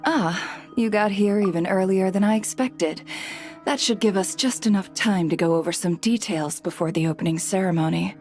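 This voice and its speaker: A woman's voice: medium pitch, moderate pace, darkish tone, soft background music, close to an everyday voice. An actress playing a composed, courteous and shrewd businesswoman in a video game, speaking in a polished, confident manner.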